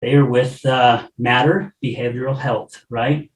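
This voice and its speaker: A man's voice: medium pitch, fairly even intonation, unhurried. A man hosting a recovery podcast, chatting enthusiastically and laughing often with his guests. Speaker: podcast host. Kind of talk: casual chat. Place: room with a live audience. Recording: roomy, from a distance, faint noise.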